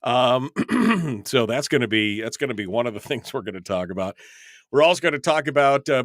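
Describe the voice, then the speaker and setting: A man's voice: lowish voice, fairly bright tone, no echo. A man hosting a live talk radio show in a studio, rambling with many fillers, joking and self-deprecating.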